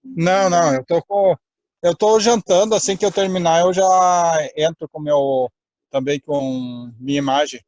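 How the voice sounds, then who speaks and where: A man makes casual small talk on an online call. His voice sits a bit high for a man. The sound is dry and somewhat muffled. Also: unhurried, a little mellow, no echo. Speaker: live stream participant. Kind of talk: casual chat.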